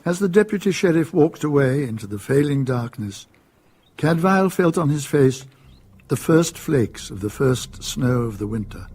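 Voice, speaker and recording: An actor as the solemn storyteller of a radio drama, narrating in a deliberate, literary manner. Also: male voice, a little high, animated, darkish tone, breathy edge. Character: solemn, atmospheric male storyteller of medieval mystery.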